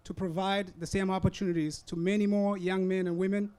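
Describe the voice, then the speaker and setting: A young man from Rwanda speaks in a notably high, light register. He addresses an audience at a university event in earnest, measured sentences. English is his second language, and he pauses to gather his words now and then. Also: level tone, a little mellow, clean tone. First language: not English. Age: young adult. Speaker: student speaker. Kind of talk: prepared public talk. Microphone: hand-held or stand mic.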